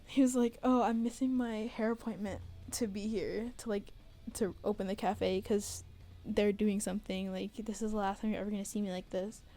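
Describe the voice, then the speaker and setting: A woman's voice: medium pitch, fairly even intonation, moderate pace, warm tone. A young woman giving a casual, giggly, rambling voice-over in informal, slangy speech, like a creator narrating a devlog. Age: young adult. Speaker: video creator narrating own game devlog. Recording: music behind the voice. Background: background music.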